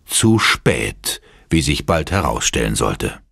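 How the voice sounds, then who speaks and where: A man's voice: rumbling low, very animated, slow pace, vocal fry, heavily acted. A man narrating a horror audio drama in a dramatic, scripted style. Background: music.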